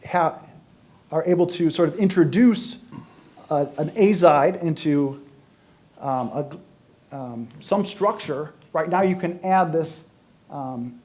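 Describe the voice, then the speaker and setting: A male university lecturer with a somewhat high voice explains science to an audience. His delivery is halting, and he often searches for the next word as he works through the explanation. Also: darkish tone.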